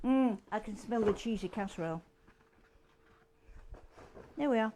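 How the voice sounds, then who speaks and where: Slow-spoken older Yorkshire woman. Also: mid-range voice, dark tone, slight background noise. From England. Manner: chatty, rambling asides. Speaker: cooking show host. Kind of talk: casual cooking demonstration.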